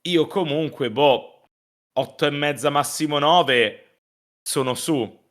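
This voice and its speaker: A man chatting casually as co-host of a stream, telling teasing anecdotes in an animated way and mimicking quoted lines. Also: on the high side, slow pace.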